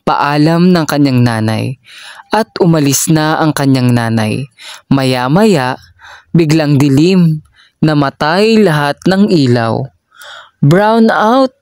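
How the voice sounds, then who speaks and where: A person reading a children's story aloud in a measured, deliberate storytelling manner. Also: mid-range voice, animated, a little mellow, clean tone, no room sound, clean studio sound.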